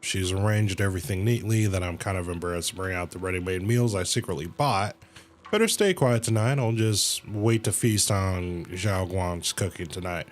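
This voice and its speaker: A man's voice: sits low, moderate pace, slight background noise. A man livestreaming a game, casually reading dialogue aloud and reacting in slang, sometimes stumbling and correcting himself. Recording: game music behind the voice. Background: video game music.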